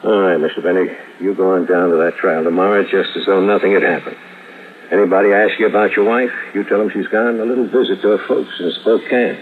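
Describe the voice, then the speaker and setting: A man acts out a gangster enforcer from a 1950s radio thriller. His low voice stays calm and slangy while he threatens, as if it were plain business. The old recording is narrow and muffled, with faint noise. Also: fast talker, natural voice. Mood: pressing, controlled. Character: cool, menacing male gangster enforcer, matter-of-fact. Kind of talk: 1950s radio drama acting.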